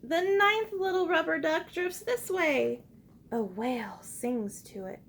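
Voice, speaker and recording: A woman reading a picture book aloud to young children like a teacher, expressive and encouraging, pausing to ask simple questions and invite repeats. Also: extremely high pitch, a little hiss or hum. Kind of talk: interactive children's read-aloud with call-and-response prompts.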